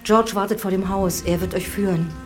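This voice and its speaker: An actress playing a dutiful, concerned housekeeper who speaks soberly and respectfully in a German radio drama. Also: female voice, medium pitch, fairly even intonation, moderate pace, soft background music, lightly acted.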